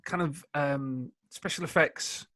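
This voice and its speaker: A man hosting a podcast, putting casual interview questions in a hesitant, halting way. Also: fairly high pitch, sharp tone, no room sound. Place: indoors, remote call.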